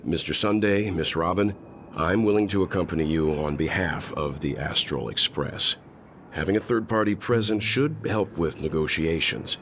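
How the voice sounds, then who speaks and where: Deep, steady mature gentleman. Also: a little hiss or hum. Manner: reassuring, polite, deliberate. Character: calm, steady, courteous mature male mentor. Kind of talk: video game voice acting.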